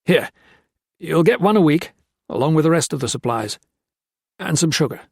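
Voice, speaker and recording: A man's voice: on the high side, expressive, moderate pace, gravelly, a little mellow, slightly rough, dry recording, studio quality, voice pushed up, full character voice. A man voicing a crooked army colonel who commands, blusters and threatens. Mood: curt. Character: bullying male army colonel, menacing and crooked.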